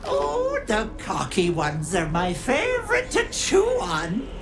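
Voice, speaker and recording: An actress playing a gleefully cruel old hag in a video game, taunting with mock-sweet endearments. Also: female voice, medium pitch, wildly swooping pitch, takes it slowly, background noise. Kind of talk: video game villain acting.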